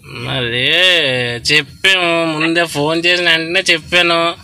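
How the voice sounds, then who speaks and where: A man making a prank sales call in a polite, halting but insistent way. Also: fairly high pitch, steady tone, gravelly, sharp tone. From Godavari districts, Andhra Pradesh, India. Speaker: prank caller posing as hospital telemarketer. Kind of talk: prank phone call, mock sales pitch.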